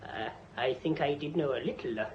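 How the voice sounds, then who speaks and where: Man acting a halting, deferential British servant. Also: mid-range voice, lightly acted. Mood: nervous, deferential. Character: hesitant, deferential long-serving male household servant. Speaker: actor in a radio drama. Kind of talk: British radio drama acting. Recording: muffled, slightly noisy radio recording.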